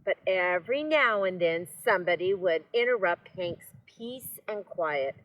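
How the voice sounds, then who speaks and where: An older woman reads a children's story aloud, gently pausing to talk to her young listeners. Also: fairly low pitch, lively intonation, muffled recording. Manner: gentle, unhurried, addressing children.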